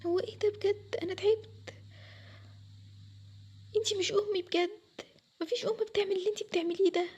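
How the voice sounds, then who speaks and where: Neglected Egyptian daughter played by a woman for a family story: high, plaintive, resentful girl's voice. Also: fairly even intonation, rapid-fire, mellow, highs cut off. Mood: indignant, hurt. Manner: whiny, plaintive. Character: hurt, whiny neglected young daughter, pleading and resentful. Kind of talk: acted Arabic family story.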